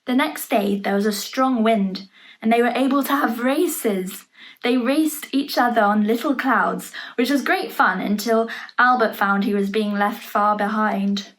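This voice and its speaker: A woman reading a picture book aloud for young children, playfully expressive, adding chatty asides and questions about the pictures, in a noisy home recording. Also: fairly high pitch, unhurried. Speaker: storybook reader for young children. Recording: noticeable background noise, home online recording.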